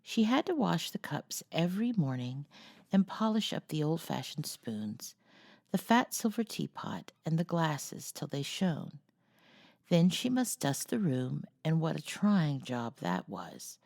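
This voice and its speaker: A woman reading a classic novel aloud as a storyteller, with a creaky voice and a measured, cozy delivery. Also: low-pitched, very animated, darkish tone. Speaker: storyteller, host of a read-aloud series.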